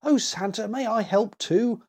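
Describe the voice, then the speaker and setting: A sweet, timid little girl from a storybook, played by a man. The voice is pitched high and a little breathy, with an earnest, tender delivery. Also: unhurried, darkish tone, full character voice. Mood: eager.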